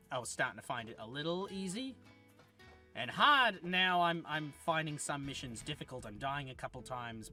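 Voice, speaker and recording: Bright-voiced chatty man reviewing a game. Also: mid-range voice. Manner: rambling and chatty, with frequent self-corrections. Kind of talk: casual game review commentary.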